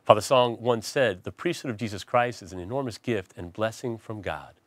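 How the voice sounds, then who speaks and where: A man's voice: on the low side, brisk, no room sound. A middle-aged man, a priest hosting a TV interview show in a studio, reading a scripted intro and then asking conversational questions with small restarts, on a lapel mic. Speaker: priest host.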